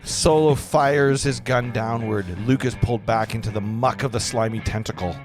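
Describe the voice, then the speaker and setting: A man's voice: mid-range voice, fairly even intonation, moderate pace. A man reading stage directions as narrator at a script table read, stumbling over words now and then. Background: clear guitar music.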